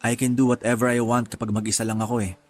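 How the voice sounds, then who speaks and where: An actor in a radio drama playing a soft-spoken, laid-back young male traveler who casually mixes English into his Tagalog. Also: medium pitch, little pitch movement, quick pace, close to an everyday voice. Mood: easygoing.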